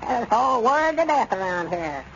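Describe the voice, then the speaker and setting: An actor playing an excitable, loyal old storekeeper with a rural Southern US accent in an old radio comedy. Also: male voice, very high voice, unhurried, full character voice. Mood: worried, exclaiming. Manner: excitable, folksy, homespun grammar. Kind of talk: 1930s-40s radio comedy serial acting. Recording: dull, noisy vintage radio recording.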